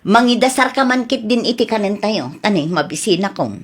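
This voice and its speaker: A woman's voice: mid-range voice, expressive, brisk, lightly acted. A woman acting a sly, cheeky, thieving wife in an Ilocano radio comedy drama, playful and wheedling. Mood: gleeful.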